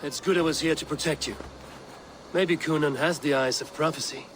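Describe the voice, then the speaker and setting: An actor playing an honorable, plain-spoken Viking warrior in a video game, earnest and gently teasing. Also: male voice, on the high side, steady tone, normal speed, a little hiss or hum, close to an everyday voice, poor audio. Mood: steady. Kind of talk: dramatic medieval video game dialogue.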